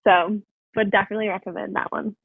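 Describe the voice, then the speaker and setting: A woman's voice: medium pitch, fairly even intonation, quick pace, creaky, breathy edge. A young woman chats as a podcast guest over a video call and fills her talk with "like." Her voice comes through a narrow, phone-like line. The sound is dry, with no room echo. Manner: chatty, full of "like" fillers. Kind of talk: casual interview chat.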